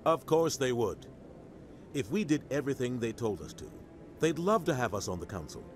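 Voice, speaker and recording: An actor voicing a seasoned, pragmatic military officer in a video game, explaining with measured authority. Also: male voice, medium pitch, sing-song, a little hiss or hum, lightly acted. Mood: pragmatic, firm. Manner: matter-of-fact, authoritative explaining.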